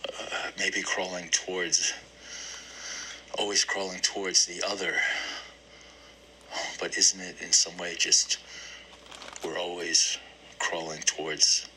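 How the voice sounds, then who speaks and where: A man's voice: deep voice, sharp tone, slight background noise, exaggerated voice, low-quality recording. A man playing a brooding therapy patient in a play, musing hesitantly and repeating phrases as he searches for words. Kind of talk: dramatic one-act play monologue.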